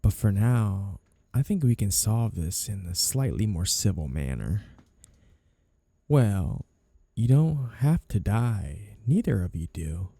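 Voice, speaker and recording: A man acts out a mafia boss for an intimate audio roleplay, with a very dark, mellow voice that crackles with fry. He is flirty and two-faced, lingering slowly over his words. Every courtesy is smooth and teasing, and carries a possessive, lovesick menace. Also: lowish voice, very animated, dry recording, full character voice. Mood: calmly menacing. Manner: smooth-talking, teasing, theatrically polite while threatening. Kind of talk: audio roleplay ASMR acting.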